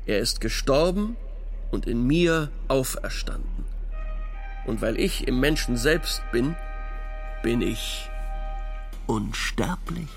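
An actor playing a grandiose, impatient inner authority who lectures like a self-declared immortal in a philosophical radio play. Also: male voice, mid-range voice, expressive, takes it slowly, long pauses, soft background music. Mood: pompous, grandiose.

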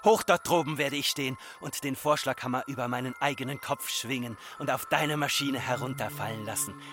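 An actor playing a farmer's embittered grown son, speaking in reproachful, incantatory repetitions in a literary radio drama. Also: male voice, medium pitch, a little fast, music in the background, natural voice. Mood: vengeful.

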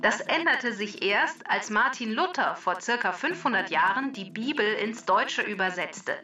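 A woman voicing a friendly computer helper on a children's show, explaining facts in a clear, precise, matter-of-fact way. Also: mid-range voice, expressive, measured pace, sharp tone. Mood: neutral. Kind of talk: scripted educational narration. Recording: distant voice over background music.

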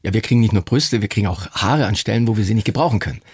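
Husky fifty-something guy, chatty, laughs a lot, dry close sound. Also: fairly low pitch, very fast, a little mellow, dry recording. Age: 50s. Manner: chatty, laughs often, self-mocking. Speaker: guest. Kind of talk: casual chat.

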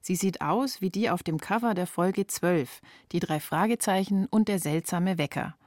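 A woman's voice: on the low side, normal speed, a little mellow, dry recording, studio quality. A woman reporter reading scripted narration for a radio feature. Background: music.

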